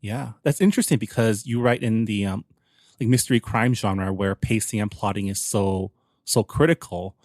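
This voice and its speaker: Low-voiced man hosting an interview, dry sound. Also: normal speed, a little mellow, no room sound. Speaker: podcast host, interviewer. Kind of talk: conversational interview.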